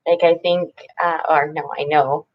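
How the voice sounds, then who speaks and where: A woman chatting with viewers during a live painting stream, soft-spoken and halting, often dropping to a whisper. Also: deep voice, little pitch movement, lo-fi sound. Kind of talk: casual live-stream chat while painting.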